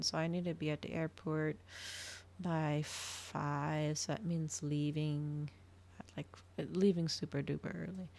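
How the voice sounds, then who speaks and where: A woman with a lowish voice and plenty of vocal fry chats casually on a livestream. She rambles along, thinking out loud as she talks to her viewers. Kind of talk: casual chat to stream viewers.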